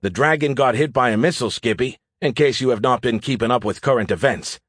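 A man's voice: mid-range voice, a little fast, a bit bright, dry recording, lightly acted. A man performs an audiobook military pilot. He sounds sullen and stubborn, with indignant sarcasm and a strong sense of duty. The recording is very dry. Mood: defensive. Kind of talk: audiobook character acting.

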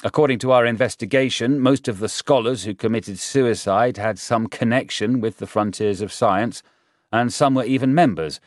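A man's voice: mid-range voice, normal speed, no room sound, studio quality, voice pushed up, close to an everyday voice. A man voicing a commanding, formal general in an audiobook, speaking in a firm, official and emphatic way. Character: commanding, formal male general briefing a meeting.

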